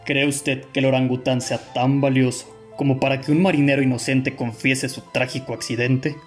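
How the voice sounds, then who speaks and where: Radio drama sidekick, male actor: Mexican accent, careful formal delivery, little pitch movement, attentive and puzzled, faint music bed. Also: level tone, moderate pace, close to an everyday voice. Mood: earnest, puzzled. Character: earnest male companion of a detective, puzzled and attentive. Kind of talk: radio play acting.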